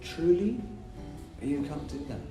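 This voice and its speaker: A man's voice: a little high, lively intonation, takes it slowly. Male teacher, emphatic explainer, roomy sound with music underneath. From Brazil. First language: Portuguese. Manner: emphatic, explanatory, speaks in short repeated phrases. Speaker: English teacher. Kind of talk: podcast conversation. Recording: roomy, distant mic, music behind.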